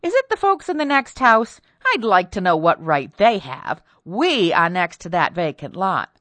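A woman narrator voicing an indignant, bossy mistress of a household in a storybook, with a sharp, demanding manner. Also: on the low side, very animated, normal speed, no echo. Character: indignant, peremptory mistress of a household, middle-class country wife.

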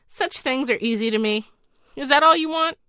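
A woman voicing a calm, offhand magical man who serves an enchanted bedstead, in a storybook reading. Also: high voice, normal speed, no room sound, pitched above the performer's own voice. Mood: easygoing. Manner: easygoing, offhand.

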